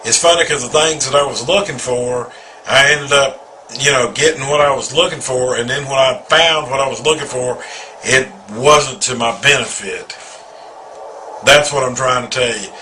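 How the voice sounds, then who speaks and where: A man's voice: mid-range voice, normal speed, sharp tone, slight background noise, poor audio. A man in his forties giving an earnest, rambling personal testimony, often repeating himself. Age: 40s. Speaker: video testimonial speaker.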